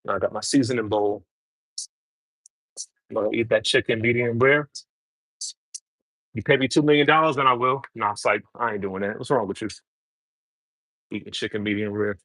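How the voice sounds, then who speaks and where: A man's voice: lowish voice, normal speed, long pauses, sharp tone, dry recording, low-quality recording. A man from Brooklyn, chatting casually with viewers while cooking on a livestream. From New York City, Brooklyn. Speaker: home cook livestreaming.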